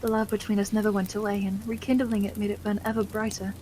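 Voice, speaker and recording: A woman playing a loving, caring, genteel wife in an ASMR roleplay, gentle and affectionate with old-fashioned politeness. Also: medium pitch, level tone, quick pace, a little hiss or hum, lightly acted, low-quality recording. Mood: adoring.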